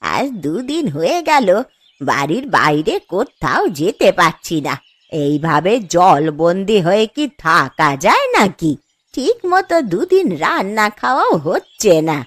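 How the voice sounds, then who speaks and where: A woman's voice: medium pitch, sing-song, measured pace, no echo. A woman voicing an aggrieved, self-pitying old village grandmother in a cartoon story, complaining and reproachful. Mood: grumbling.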